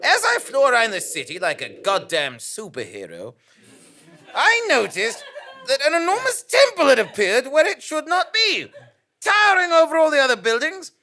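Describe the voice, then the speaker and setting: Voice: high-pitched, wildly swooping pitch, normal speed, bright, forceful tone, heavily acted. An actor playing a pompous, self-important investigative journalist, narrating a melodramatic comic audio drama in a theatrical, blustering way. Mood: grandiose.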